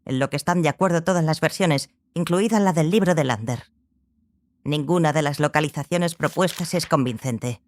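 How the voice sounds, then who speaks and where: A woman's voice: low voice, a little mellow, no room sound. A woman playing a brusque, authoritative scholar of legends in an audiobook drama, with a firm, didactic way of talking.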